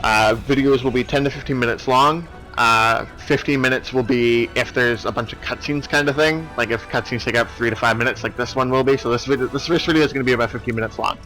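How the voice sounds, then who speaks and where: A man's voice: mid-range voice, quick pace, hardly any pauses, sharp tone, poor audio. A man giving casual, rambling let's play commentary in a hesitant, apologetic manner. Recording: game music behind the voice. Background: video game cutscene music.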